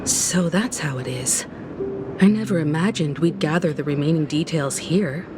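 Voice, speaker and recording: A woman's voice: low voice, normal speed, noisy background, lightly acted. A woman playing a composed, gracious leader in a video game, poised and polite. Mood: musing. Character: composed, gracious adult woman leading her companions. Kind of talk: acted video game dialogue.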